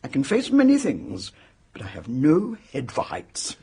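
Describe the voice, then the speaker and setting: Husky, blunt, hearty spinster. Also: male voice, medium pitch, huge pitch swings, unhurried, warm tone, heavily acted. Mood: blunt, emphatic. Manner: forthright, emphatic. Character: blunt, hearty spinster hotel guest, a woman. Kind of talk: radio mystery drama acting.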